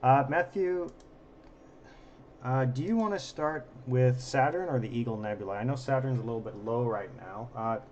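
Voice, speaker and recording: Slightly hoarse man hosting, dull recording. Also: mid-range voice, normal speed, darkish tone. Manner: self-deprecating, joking. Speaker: livestream host and telescope operator. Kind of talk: casual live stream commentary. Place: observatory during a live stream. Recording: dull sound with faint background noise.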